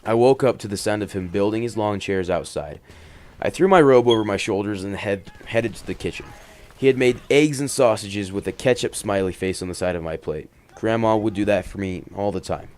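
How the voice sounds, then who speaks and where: Male horror-story host, fairly deep voice, dramatic delivery that moves between hushed and emphatic. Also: a little fast. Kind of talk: dramatic first-person horror narration with casual commentary.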